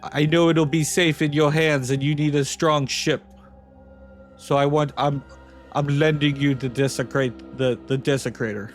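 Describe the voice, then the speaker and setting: A man's voice: fairly high pitch, steady tone, moderate pace, soft background music, lightly acted. A man voicing a monk with a shaky, put-on French accent, speaking earnestly and stumbling over his words. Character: older male monk with a bad French accent.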